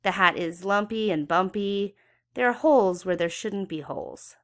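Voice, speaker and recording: A woman's voice: mid-range voice, normal speed. A woman reading a children's story aloud expressively, with dramatic emphasis for young listeners. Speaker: children's book read-aloud educator.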